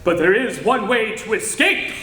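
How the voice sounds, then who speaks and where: A man acts a grave, impassioned scientist of a doomed world in a radio play. His voice is very high-pitched and forceful, ringing in an echoey hall. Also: animated, normal speed, a little hiss or hum. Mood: grave, insistent. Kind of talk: 1940s-style radio acting.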